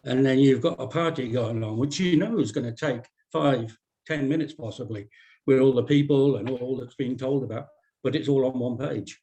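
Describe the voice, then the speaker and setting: Blunt man, medium-pitched voice. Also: moderate pace, warm tone. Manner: blunt, critical. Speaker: script reader giving feedback. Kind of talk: informal critique discussion.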